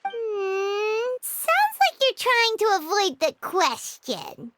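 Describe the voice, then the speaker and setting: A woman's voice: extremely high pitch, wildly swooping pitch, slow pace, very noisy, full character voice. A woman voicing a tiny, childlike fairy companion in a video game, chirpy, curious and outspoken, exclaiming eagerly. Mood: curious, suspicious. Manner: refers to self in third person, exclaims eagerly.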